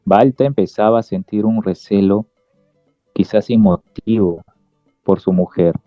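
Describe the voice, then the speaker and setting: A man's voice: fairly low pitch, steady tone, a little slow, no room sound. A man reading scripted literary narration as the narrator of a radio drama. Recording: dull lo-fi sound, music behind.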